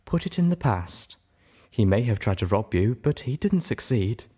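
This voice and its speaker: A man voicing a composed, gentle female pony with a soft, dry manner in an audiobook. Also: on the low side, moderate pace, slightly rough. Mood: soothing. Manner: soft, deadpan.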